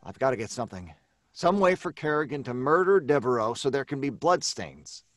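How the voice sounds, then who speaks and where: Man acting a frazzled, animated mystery writer. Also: medium pitch, a little fast, dry recording, studio quality, close to an everyday voice. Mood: exasperated. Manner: stammering, blustering, exasperated. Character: frazzled, irritable male mystery writer under deadline. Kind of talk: old time radio drama acting.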